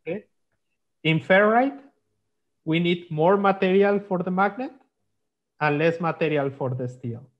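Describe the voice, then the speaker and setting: A man explaining technical slides in halting, on a video call. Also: high-pitched. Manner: halting, non-native English phrasing. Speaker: guest, magnetics consultant. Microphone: video call microphone. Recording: dull lo-fi online call.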